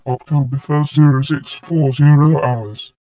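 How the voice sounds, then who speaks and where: An actor playing an impersonal ship's log announcer in an audio drama, reading out in a flat, formal, clipped military way through a radio filter. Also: male voice, fairly high pitch, a little slow, over music. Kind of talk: clipped military timestamp readout. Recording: muffled radio with loud static and beeps.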